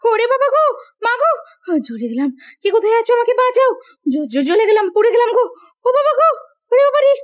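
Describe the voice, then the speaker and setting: A woman's voice: extremely high pitch, big pitch swings, quick pace. A woman playing a haughty, spiteful, melodramatic sister-in-law in a cartoon. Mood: pained, wailing. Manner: scornful, theatrical.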